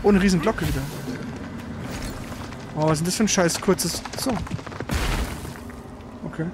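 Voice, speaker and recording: A man's voice: high-pitched, moderate pace, loud music behind the voice. A man doing casual live gameplay commentary with a co-player, exclaiming, grumbling and swearing as he goes. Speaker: gaming streamer playing and commenting.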